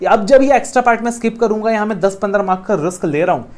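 A man's voice: high voice, rapid-fire, a little mellow. Motivational Indian man teaching exam law. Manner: coaxing, motivational, mixes English phrases, gestures while talking. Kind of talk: classroom lecture to camera. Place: indoor studio room with a whiteboard screen.

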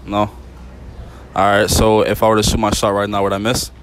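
A man's voice: fairly low pitch, completely flat delivery, fairly quick, slight background noise. A young man doing casual street interviews outdoors, teasing and repeating answers back. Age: young adult. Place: outdoors on a university campus.

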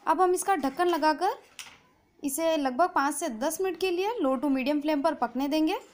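A woman hosting a cooking channel, giving a calm, patient, step-by-step recipe voice-over. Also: high voice, measured pace. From India.

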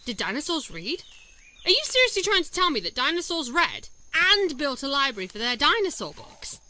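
A woman's voice: high-pitched, big pitch swings, moderate pace, pushed and bright, a little hiss or hum, exaggerated voice. An actress playing a sceptical young woman companion who teases and questions in a fan audio drama. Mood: wary. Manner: wry, teasing, questioning.

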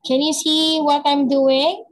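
A woman's voice: high-pitched, level tone. Patient Filipino woman tutoring online. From Philippines. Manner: patient, slow and encouraging, repeats the child's answers. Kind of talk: online lesson with a child. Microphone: headset on a video call.

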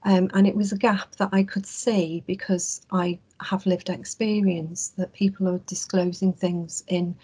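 A woman from England, a clinical psychologist, giving an earnest, measured webinar talk over a video call. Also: on the low side, little pitch movement, warm tone, slightly rough. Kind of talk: webinar presentation.

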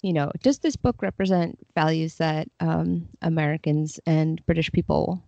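A woman's voice: deep voice, normal speed, creaky, mellow, no echo, highs cut off. A woman talking casually and thoughtfully as a guest translator in a podcast interview, explaining her views.

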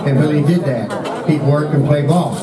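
Older man as folksy banquet emcee, a bit high-voiced, heard from the back of a noisy hall. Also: moderate pace. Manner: chatty, folksy asides to the crowd. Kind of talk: casual banquet emcee talk. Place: large banquet hall, indoors. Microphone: podium microphone, filmed from far. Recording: echoey hall from far off, crowd noise.

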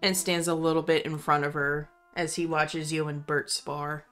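A woman running a tabletop roleplaying game as game master, narrating with slow, dramatic storytelling. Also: low voice, flat delivery, fairly bright tone, soft background music.

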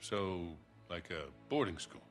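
An actor playing a gruff, middle-aged American treasure hunter in a video game cutscene, wry and laconic. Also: male voice, low-pitched, animated, a little slow, soft background music. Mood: wry, probing.

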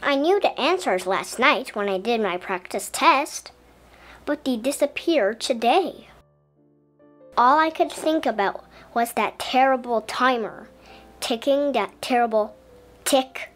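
A woman reading a children's story aloud in an expressive, deliberate storybook style. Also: on the high side. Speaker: children's book reader. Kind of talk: expressive scripted storybook narration as a young boy.

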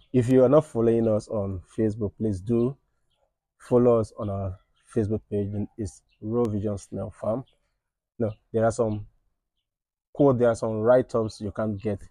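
A man's voice: on the low side, mellow, no room sound. A man in his thirties giving earnest, informal farming advice to a phone camera under an outdoor shed, often checking in with "right?". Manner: earnest, repeats points with "right?" and "all right". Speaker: snail farmer and channel host. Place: outdoor shed with tin roof at a snail farm. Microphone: phone camera at arm's length.

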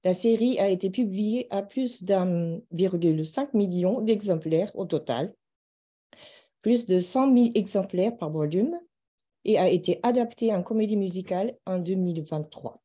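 A woman's voice: mid-range voice, normal speed, warm tone. A middle-aged woman giving a formal, scripted introduction as a seminar moderator on a video call.

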